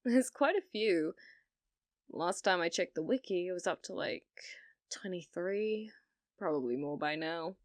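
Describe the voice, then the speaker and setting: A woman's voice: on the low side, animated, dry recording, clean studio sound. A woman playing a flirty, possessive yandere rental date in an ASMR roleplay, teasing and coy, coaxing the listener. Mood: playful, coaxing. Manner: teasing, coy, slow and deliberate, drops to whispers.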